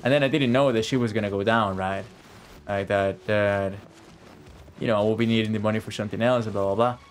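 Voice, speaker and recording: A man's voice: on the low side, steady tone, fairly quick. A man streaming a game with casual, rambling live commentary full of slang and swearing. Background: faint game music.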